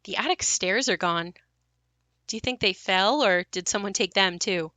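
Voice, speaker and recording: A woman's voice: medium pitch, moderate pace, pushed and bright, no echo, close to an everyday voice. A woman acting a wistful, reflective grown daughter haunted by her ruined childhood home in an audio play. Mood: wry, casual.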